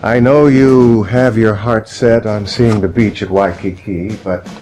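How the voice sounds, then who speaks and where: Game cutscene: authoritative American male president actor's role, fairly low pitch, measured formal speech. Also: fairly even intonation, warm tone, soft background music, close to an everyday voice. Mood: grave, commanding. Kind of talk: dramatic game cutscene acting.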